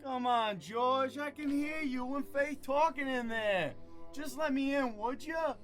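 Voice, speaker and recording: An actor playing a taunting, pleading brother in an audio drama, crude and wheedling. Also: male voice, very high voice, measured pace, music in the background. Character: Lenny, eerie, taunting, pleading brother outside a door.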